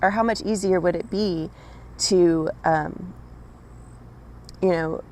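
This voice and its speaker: Raspy woman giving a spiritual reading. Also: lowish voice, fairly even intonation, slow pace, a little mellow, slight background noise. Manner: addresses listener directly, frequent "right?" and "okay?". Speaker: spiritual channeler. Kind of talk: casual spiritual monologue.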